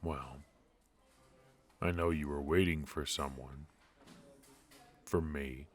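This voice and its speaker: A man playing a cocky but affectionate secret agent in an intimate noir audio roleplay, with halting, teasing delivery. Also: a bass voice, fairly even intonation. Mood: weary, teasing. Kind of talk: intimate ASMR roleplay voice acting.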